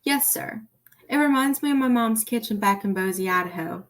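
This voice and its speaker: A woman's voice: on the high side, moderate pace, lightly acted. A teenage girl acting a nervous, eager, naive rookie police officer in a student radio play. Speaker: student actor.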